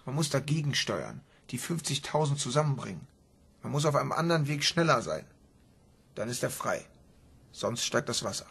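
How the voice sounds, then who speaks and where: A man playing an earnest, intense son absorbed in a game, husky and creaky, in a German radio drama. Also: mid-range voice, big pitch swings, normal speed, a bit bright, full character voice. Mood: urgent, pleading.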